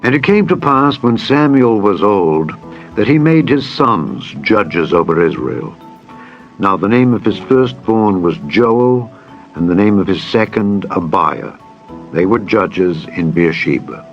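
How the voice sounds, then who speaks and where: A man narrating a dramatized scripture reading in a solemn, measured, formal manner. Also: on the low side, expressive, muffled recording. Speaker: Bible narrator. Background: background music.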